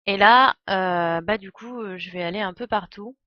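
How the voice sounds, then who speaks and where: A woman's voice: mid-range voice, normal speed, dry recording, muffled recording. Woman commentating a game, dry sound. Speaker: game streamer. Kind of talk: casual live gameplay commentary.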